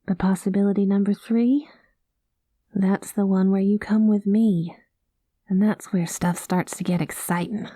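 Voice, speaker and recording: A woman playing a rogue space pilot in an ASMR roleplay, talking close to the mic in a soft, folksy cowboy drawl that drops into whispers. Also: medium pitch, steady tone, measured pace, no echo. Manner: soft-spoken, slips into whispers. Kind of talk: ASMR roleplay, spoken to the listener.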